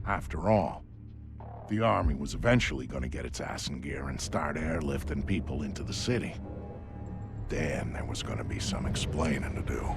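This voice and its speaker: Deep, gravelly veteran narrator. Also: male voice, exaggerated voice. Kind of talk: scripted game narration. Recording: music behind the voice. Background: soundtrack music.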